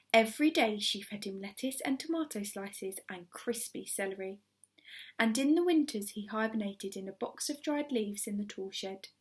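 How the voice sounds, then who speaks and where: Expressive woman reading a children's story. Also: fairly high pitch. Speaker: primary school teacher reading aloud.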